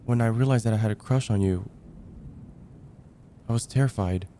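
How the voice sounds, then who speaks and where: A man playing a lovestruck young heartbreaker friend in an ASMR roleplay, speaking in an earnest, halting, stammering way. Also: lowish voice, dark tone, a little hiss or hum, close to an everyday voice. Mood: regretful, vulnerable. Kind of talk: ASMR roleplay, intimate dramatic monologue.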